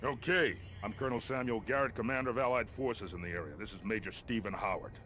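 Man acting a gruff American army colonel. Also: fairly low pitch, fast talker, fairly bright tone, slight background noise, natural voice. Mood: commanding. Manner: gruff, blunt, wry, folksy. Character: gruff, impatient, wry male army colonel in command. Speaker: army colonel, commander giving mission briefings. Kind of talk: video game character dialogue.

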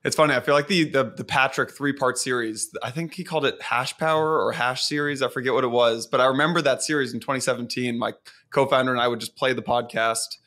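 A man's voice: mid-range voice, steady tone, fast, pushed and bright. A young man hosting a podcast interview in an upbeat, chatty way, recorded indoors on a studio microphone. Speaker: podcast host. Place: home office, indoors. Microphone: studio mic on boom arm.

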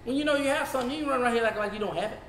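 A man in his fifties with a Southern US accent teaching a Bible study as pastor. He swings from shouting to low talk, recorded in a church sanctuary on a lapel mic. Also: rapid-fire, echoey room, in a large hall. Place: church sanctuary, seated before a projection screen.

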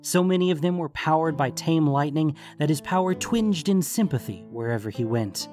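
A man's voice: fairly high pitch, animated, normal speed, a little mellow, soft background music. A man reading scripted fantasy story narration as the narrator.

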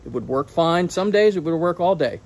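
A man's voice: on the high side, animated, fairly quick. A middle-aged man with an American accent giving a casual hands-on tutorial, explaining plainly step by step. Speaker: presenter of a do-it-yourself boat video. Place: on and around a boat outdoors, and at a workbench.

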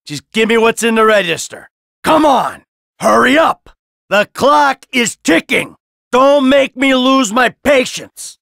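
Cynical middle aged american gangster for a game, swings all over in pitch, dry close sound, sardonic and mouthy. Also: male voice, animated, slow, gravelly, sharp tone, no echo, exaggerated voice. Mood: threatening. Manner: sardonic, brash, swearing. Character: cynical, sarcastic, foul-mouthed middle-aged male criminal. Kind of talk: video game voice acting.

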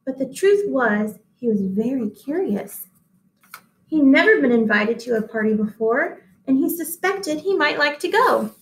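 A woman in her thirties reading a picture book aloud to children, deliberate and expressive, pausing to address listeners at home. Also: high voice, a little hiss or hum. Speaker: read-aloud host, teacher. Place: small indoor office-like room. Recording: echoey small room.

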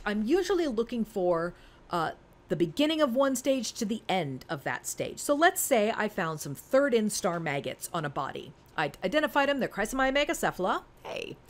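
A woman in her forties with very lively, swinging intonation and a mid-range voice. She explains things step by step like an instructor, in a conversational, emphatic way, putting questions to the learner as she goes. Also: medium pitch. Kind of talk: informal screen-recorded lesson, explaining step by step.

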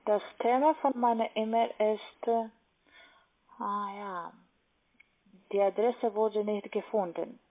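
Female call centre agent, non-native speaker, halting delivery, medium pitch, narrowband phone sound. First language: not German. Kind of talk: phone sales call, step-by-step instructions. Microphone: telephone. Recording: muffled narrowband phone line.

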